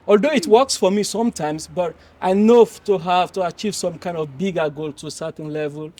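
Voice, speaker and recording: A young man from Mali on a panel, telling his story with many pauses and restarts. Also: high voice. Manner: hesitant, many fillers and restarts, warm laughs. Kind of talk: panel discussion, personal account.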